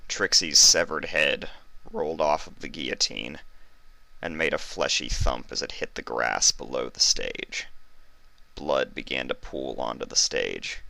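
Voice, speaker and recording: Man, very low pitch, forceful bright tone, dry close sound, scripted story narration. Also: measured pace, creaky, no room sound. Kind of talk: scripted fan fiction narration.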